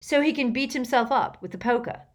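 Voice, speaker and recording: A woman voicing a fierce, impatient teenage boy hero in a storybook, forceful and demanding as he questions and gives orders. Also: medium pitch, expressive, unhurried.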